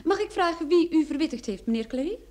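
An actress playing a clever, self-assured private detective in a radio play, pert and precise. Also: female voice, high voice, animated, fast, a little mellow. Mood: poised, wry. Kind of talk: old radio play acting.

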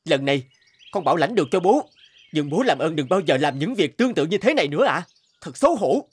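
An actor playing an impatient, proud adult son in an animated story, with an emphatic, scolding manner. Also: male voice, a little high, quick pace, breathy edge. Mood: exasperated. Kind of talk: animated moral story dubbing.

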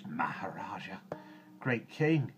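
Man playing a husky, fawning old village hunter. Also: low-pitched, sing-song, slow pace, slight background noise, exaggerated voice. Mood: fearful, pleading. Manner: pleading, servile.

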